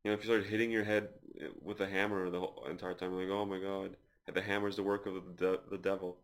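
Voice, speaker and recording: A man's voice: low voice, flat delivery, fast. A man hosting a casual podcast, talking in a loose, rambling way with crude jokes. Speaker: podcast host.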